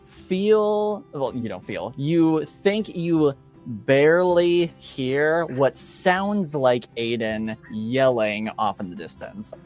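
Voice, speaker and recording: A man acts as game master in an improvised tabletop session. He speaks slowly and haltingly, feeling his way through narration and rules calls, with music in the background. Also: medium pitch, lively intonation, darkish tone. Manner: halting, hesitant delivery. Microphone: home microphone on an online call.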